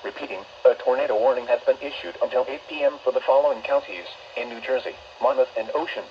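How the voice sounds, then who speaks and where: Robotic american guy doing weather radio alerts, measured tts delivery, muffled lo-fi speaker with noise behind it. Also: medium pitch. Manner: flat, measured synthetic reading. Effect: synthetic text-to-speech voice. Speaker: automated weather radio announcer. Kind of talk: emergency alert announcement, scripted. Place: weather radio playback filmed up close indoors.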